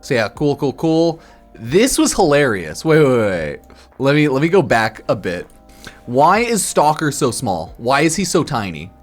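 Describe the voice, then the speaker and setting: A man's voice: medium pitch, sing-song, measured pace, faint music behind. A slightly tipsy man giving casual livestream commentary in a blunt, slangy, sweary way, often repeating himself and checking in with the listener. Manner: repeats himself for emphasis, tags lines with "right?". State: a bit drunk. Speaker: game streamer.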